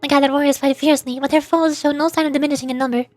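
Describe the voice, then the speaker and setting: A woman's voice: high voice, fairly even intonation, rapid-fire, no room sound. A woman streamer giving casual gameplay commentary, playfully muttering to herself as she plays. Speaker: streamer, vtuber. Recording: game music behind the voice. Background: faint game music.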